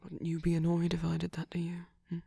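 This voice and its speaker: A woman playing a gentle, doting vampire boyfriend in a soft-spoken romantic ASMR roleplay, tender and lightly teasing, often whispering close to the microphone. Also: medium pitch, completely flat delivery, quick pace, vocal fry, slightly rough, dry recording. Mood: gentle reassurance.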